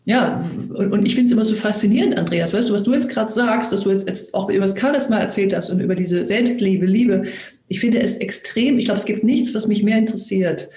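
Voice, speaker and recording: Sanfte, nachdenkliche Frau, halliger Raum. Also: female voice, medium pitch, reverberant. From Germany. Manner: thoughtful, searching, soft-spoken with many pauses. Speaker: actress and co-host. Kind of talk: reflective conversation.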